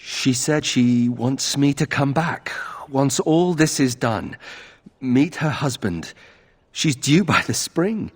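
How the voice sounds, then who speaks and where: An actor playing a brooding young nobleman in a video game, husky and earnest, with a subdued delivery. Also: medium pitch, animated, slow pace. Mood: troubled. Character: brooding young nobleman troubled by his family's disgrace.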